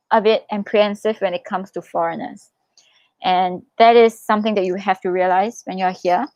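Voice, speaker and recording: A young woman hosts a casual livestream, reading questions and answering them in a chatty, expressive way. Her voice sits at a middle pitch for a woman, in a dry, close sound with little room echo. She gives advice in a reassuring, informal manner. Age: young adult. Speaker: livestream host, international student in Korea.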